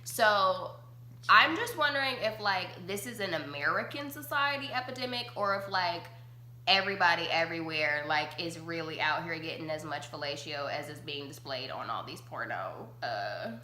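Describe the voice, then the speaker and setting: Give me a bright young woman host chatting casually, roomy echo. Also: fairly low pitch, moderate pace, slight background noise. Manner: chatty, laughing, says like constantly. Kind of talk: casual chat on a live-streamed talk show.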